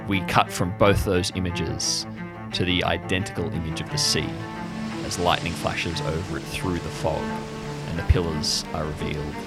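A man narrating an improvised audio drama as game master, in a measured, dramatic storytelling manner. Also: deep voice, level tone, loud music behind the voice.

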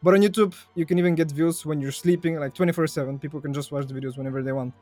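A man's voice: fairly high pitch, steady tone, rapid-fire, a little mellow, soft background music. Young man. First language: Bulgarian. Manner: chatty, laughs easily, repeats phrases. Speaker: guest. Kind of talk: casual podcast interview.

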